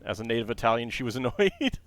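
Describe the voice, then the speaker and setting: A man's voice: mid-range voice, big pitch swings, fast talker. Chatty man streaming a Lego build. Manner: chatty, reads facts aloud, then talks to chat. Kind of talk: casual livestream chat while building, reading aloud.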